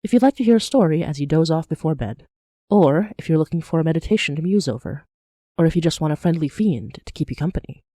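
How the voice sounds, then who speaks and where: A podcast announcer reading a scripted promo in a measured narration style. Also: medium pitch, expressive, warm tone, no echo, clean studio sound.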